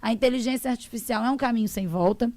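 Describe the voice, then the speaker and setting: A woman's voice: fairly high pitch, fast talker, a little mellow, no echo. A woman lawyer on a talk show, explaining in a didactic way and acting out her clients' words. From Brazil. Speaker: social security lawyer, guest.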